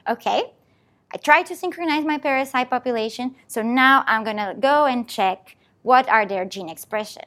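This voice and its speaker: A woman's voice: fairly high pitch, normal speed, fairly bright tone. A woman scientist from Portugal, explaining her research step by step in a presentation talk. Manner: explanatory, walking through an experiment step by step.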